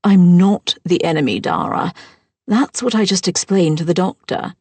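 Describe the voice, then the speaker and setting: A woman voicing a scowling, haughty stepmother who speaks in sharp, accusing tones. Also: on the low side, normal speed, husky, dry recording. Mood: sneering.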